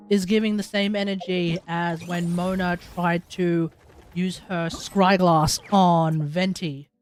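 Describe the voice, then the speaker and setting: A woman giving casual live reactions as a game streamer, musing in a halting, slangy way. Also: fairly low pitch. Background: game music.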